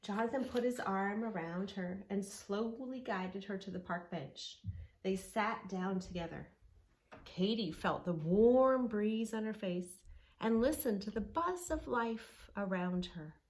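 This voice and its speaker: A woman's voice: medium pitch, unhurried, a little mellow, low-quality recording. A woman hosting a children's storytime, narrating expressively and speaking directly to young listeners. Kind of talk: children's read-along storytelling with asides.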